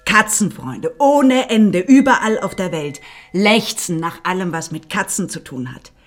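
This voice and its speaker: A woman playing a gushing, pushy publishing executive with a husky voice, selling in emphatic fragments in a radio drama. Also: on the low side, lively intonation, measured pace. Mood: gushing, eager. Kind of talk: German radio drama acting.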